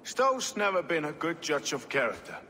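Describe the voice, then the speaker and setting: Gruff sardonic medieval lawman, game drama, slightly high pitch, long stone-hall reverb. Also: very animated, normal speed, bright, forceful tone, a little hiss or hum. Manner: curt, sardonic. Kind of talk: dramatic video game cutscene acting.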